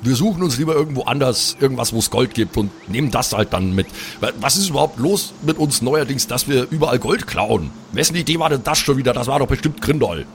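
A man's voice: medium pitch, animated, a little fast, vocal fry, few pauses, a little hiss or hum. A man playing an exasperated, scolding fantasy adventurer in an improvised audio drama.

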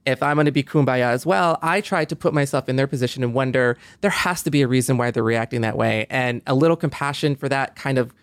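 A man's voice: medium pitch, quick pace, few pauses, no room sound. A man hosting a podcast, speaking in a reflective conversational way with an earnest, optimistic manner and frequent you-knows. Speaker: podcast host.

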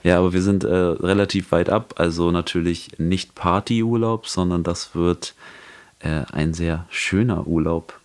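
A man with a hoarse voice from a cold, co-hosting a casual podcast chat and talking in a halting way, searching for words. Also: deep voice, steady tone. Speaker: podcast co-host.

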